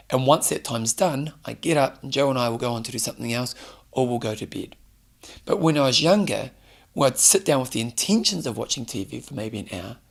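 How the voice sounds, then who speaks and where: Gravelly, hoarse New man hosting a fitness talk, earnest and direct with the listener. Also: mid-range voice. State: hoarse, losing his voice. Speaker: fitness show host. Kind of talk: solo motivational talk to camera.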